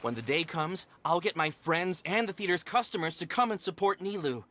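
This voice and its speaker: A man's voice: high voice, animated, brisk, pushed and bright, a little hiss or hum. A man voicing an eager, impulsive theater fan with brash plans. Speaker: theater supporter. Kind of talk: game voice acting.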